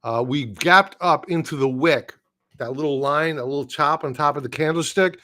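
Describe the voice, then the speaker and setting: A man hosting a live market stream, talking through charts in a blunt, opinionated way with casual slang, his voice going hoarse and breaking into throat-clearing. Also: on the high side, normal speed, bright, forceful tone. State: losing his voice.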